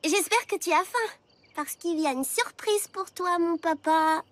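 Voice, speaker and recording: A woman voicing an earnest, anxious young girl in an animated cartoon, speaking expressively. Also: very high voice, a little slow. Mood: sweet, eager. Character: earnest, anxious young girl scheming to keep parents together.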